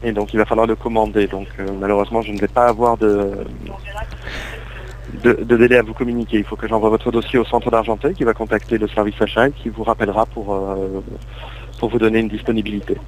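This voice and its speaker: A man's voice: lowish voice, level tone, fast talker. A man speaking as a polite customer service agent on a phone call, explaining things with some hesitation. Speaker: windscreen repair call centre agent. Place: phone call recorded over Skype. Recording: faint hum on internet call.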